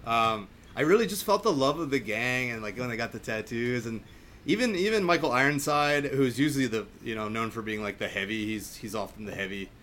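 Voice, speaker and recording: An effusive man hosts a casual film chat, rambling along informally in a medium-pitched voice. Background noise is audible behind him as he speaks. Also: lively intonation, a little fast, fairly bright tone. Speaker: podcast host. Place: home, remote recording.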